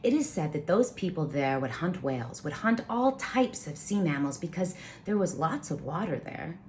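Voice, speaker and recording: A woman storyteller reading aloud with informal asides, halting and careful over names. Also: low-pitched, very animated, darkish tone, a little hiss or hum.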